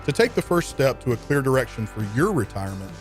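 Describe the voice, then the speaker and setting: Measured male radio ad announcer. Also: medium pitch, very animated, faint music behind. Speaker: advertisement announcer. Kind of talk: scripted radio ad narration.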